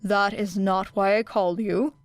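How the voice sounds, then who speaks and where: A woman voicing a stern, tired male teacher in an audiobook, with a terse, even, deadpan manner. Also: medium pitch, normal speed, no room sound, lightly acted. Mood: dry, weary. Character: weary, stern adult male teacher, dryly grumbling. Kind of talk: audiobook character acting.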